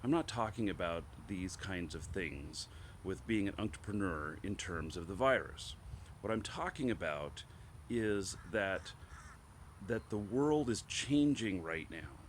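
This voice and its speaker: A man speaks in a low voice that is slightly stuffed up with a cold. He talks casually to his viewers as a show host, thinking aloud with halting, emphatic phrasing. Faint noise sits behind him. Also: animated. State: has a head cold, clearing throat. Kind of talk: casual opinion monologue to viewers.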